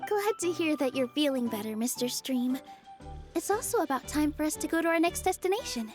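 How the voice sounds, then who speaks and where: A woman's voice: sits high, animated, brisk. A woman voicing a kind, earnest young dancer girl in a video game, sweet and supportive. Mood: warm reassurance. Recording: music behind.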